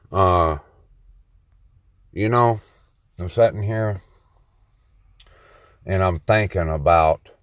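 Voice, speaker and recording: Male vlogger, low and unhurried, clear close dry voice, cocky rambling brag. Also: no room sound. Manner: boastful and playfully cocky; slow, meandering delivery. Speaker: solo vlogger on the mic. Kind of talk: rambling self-recorded monologue.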